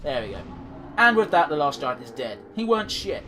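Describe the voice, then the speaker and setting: A man's voice: fairly high pitch, big pitch swings, a little fast, crowd noise. A man with a blocked nose giving casual, swear-laden commentary while playing a game, trailing off with fillers. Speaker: gaming commentator. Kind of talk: casual let's-play commentary.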